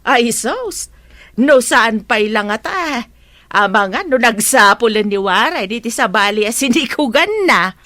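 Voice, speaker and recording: A woman's voice: on the high side, animated, unhurried, pushed and bright, no echo. A woman with a Filipino accent playing a nosy, teasing, meddling auntie in a radio drama, gossipy and full of exclamations. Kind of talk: Ilocano radio drama acting.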